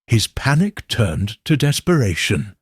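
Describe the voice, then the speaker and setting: Man reading earnest companion doctor, husky, slow, questioning. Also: mid-range voice, very animated, no echo, clean studio sound, heavily acted.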